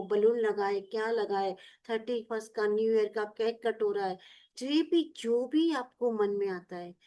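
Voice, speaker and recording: Coaxing woman leading a visualization. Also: on the high side. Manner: warm, coaxing, repeats "yaar" often. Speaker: self-help teacher. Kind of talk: guided visualization talk.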